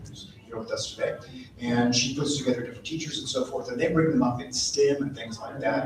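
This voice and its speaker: A community college dean talking on a conference panel in a conversational way, often adding "right?" as he explains. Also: mid-range voice. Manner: conversational, frequent "right?" asides. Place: large conference hall with audience. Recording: echoey hall, mic at a distance, audience present.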